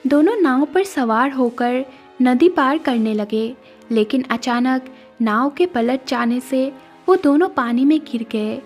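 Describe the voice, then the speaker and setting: A woman's voice: sits high, lively intonation, slow, a little mellow. A woman giving scripted narration as the storyteller of a cartoon moral story. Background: faint music.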